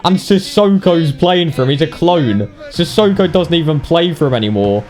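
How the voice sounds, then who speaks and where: A British man giving casual, sweary, mock-indignant commentary on video game highlights. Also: animated, moderate pace, a little mellow. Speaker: gaming video narrator.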